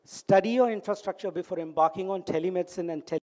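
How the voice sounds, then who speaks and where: A man's voice: sits high, fast talker, warm tone. A man with an Indian English accent giving an earnest, instructive talk at a medical conference. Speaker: conference speaker. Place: conference hall.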